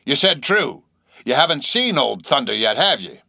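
A man's voice: mid-range voice, sing-song, moderate pace, vocal fry, bright, forceful tone, no echo, studio quality, voice pushed up, full character voice. A man voicing a shabby, insanely earnest old sailor in an audiobook, speaking abruptly with nervous emphasis and derisive, cryptic questions. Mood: urgent, earnest. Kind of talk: audiobook character acting.

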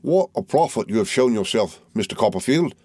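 A man's voice: fairly low pitch, expressive, a little fast, a little mellow, no echo, studio quality, pitched above the performer's own voice. A man reading aloud and voicing a fawning, falsely humble young male clerk with a wheedling, obsequious manner.

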